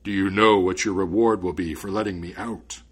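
A man voicing a huge, boastful spirit with a frightening, forceful, threatening and contemptuous delivery, as in a storybook. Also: sits low, steady tone, moderate pace, breathy edge. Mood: menacing, contemptuous. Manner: threatening, imperious.

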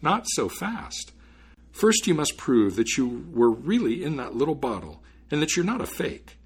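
Man playing a bold young student, lilting and husky. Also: medium pitch, very animated, a little slow, voice pushed up, full character voice. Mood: cool, unafraid. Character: cheerful, fearless, quick-witted young student son.

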